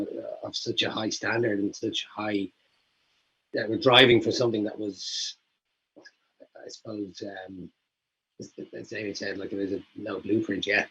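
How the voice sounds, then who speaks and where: A man, an actor joining by video call, answering in an animated, rambling way. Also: on the low side, husky, lo-fi sound. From Ireland. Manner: animated, rambling, laughs easily. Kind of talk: festival Q&A answer.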